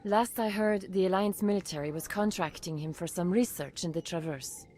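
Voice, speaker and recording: An actress playing a grateful, soft-mannered doctor in a video game, polite and helpful. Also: female voice, on the low side, normal speed, slight background noise, lightly acted. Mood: thoughtful, helpful. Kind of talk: video game dialogue acting.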